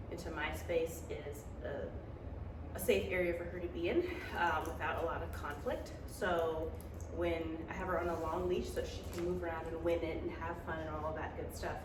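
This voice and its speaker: A woman training her dog, talking through play etiquette with a stuffy cold voice and plenty of fillers. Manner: relaxed explaining with fillers, high-pitched praise to the dog. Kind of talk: instructional dog training demo. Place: training space with a dog present. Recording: echoey, mic far off, background noise.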